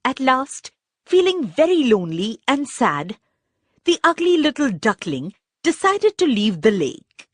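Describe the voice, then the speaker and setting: A woman reads a children's story in a high-pitched voice that swoops widely. She takes her time, telling it expressively and deliberately. The recording is dry and close. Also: big pitch swings, dry recording. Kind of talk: scripted narration of a children's story.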